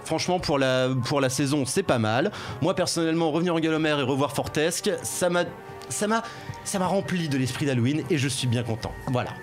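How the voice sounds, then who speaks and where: Man, casual reviewer on a studio panel, rapid and rambling, middle pitch, faint music under the voice. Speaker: game reviewer. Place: studio with headsets. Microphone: studio mic.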